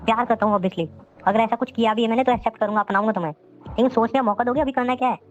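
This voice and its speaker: A man acting a flustered, hungover young boyfriend in a phone-call drama, questioning repetitively, his voice pitched up and sped up. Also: fairly even intonation, very fast. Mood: uneasy. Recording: muffled lo-fi call audio. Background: music.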